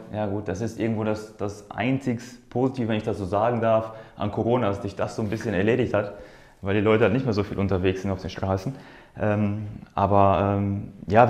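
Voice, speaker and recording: Low-voiced man, halting, in an echoey room. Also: a little mellow. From born in Poland, grew up in Germany's Ruhr area. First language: Polish. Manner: halting, searching for words with repeated phrases. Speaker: professional footballer being interviewed. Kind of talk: casual, personal interview answers. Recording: roomy, echoing sound.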